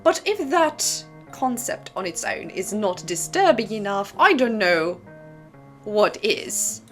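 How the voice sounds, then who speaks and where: A woman's voice: mid-range voice, very animated, slow. Female host, mid-range voice, conversational but theatrical book commentary, questioning the audience directly, light music underneath. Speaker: host of a disturbing literature channel. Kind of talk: casual scripted commentary to camera.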